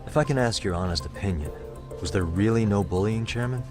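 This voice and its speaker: An actor playing a calm, polite but direct male detective in a video game drama, asking probing questions. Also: on the low side, brisk, natural voice. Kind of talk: video game cutscene acting. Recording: background music under the dialogue.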